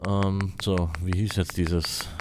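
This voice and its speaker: A man's voice: sits low, level tone, takes it slowly, noisy background. A man with a German accent giving casual commentary as he plays a video game. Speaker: let's play host.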